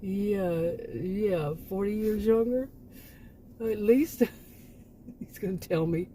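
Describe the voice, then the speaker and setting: Mellow-voiced woman in her late fifties. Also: mid-range voice, slow pace, slight background noise. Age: 50s. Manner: rambling, chatty, with frequent restarts and asides. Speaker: vlogger. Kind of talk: casual chat to camera.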